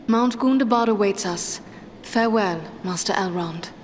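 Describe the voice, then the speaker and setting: A woman's voice: mid-range voice, moderate pace, background noise, lightly acted. An actress playing a resolute, earnest elf woman in a fantasy game, with formal, composed phrasing. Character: resolute young female elf adventurer, earnest and grave. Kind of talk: scripted fantasy game dialogue.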